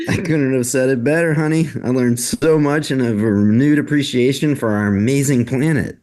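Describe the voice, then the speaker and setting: A man playing an excitable, courteous and eager Frenchman in a scripted table read. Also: mid-range voice, normal speed, lightly acted. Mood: moved, appreciative. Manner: exclaims in French phrases, urging others along.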